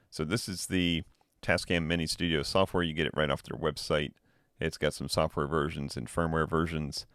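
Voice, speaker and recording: Low-voiced man casually reviewing gear. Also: no room sound, clean studio sound. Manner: relaxed, explanatory, thinking aloud. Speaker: tech reviewer. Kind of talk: casual product review demo.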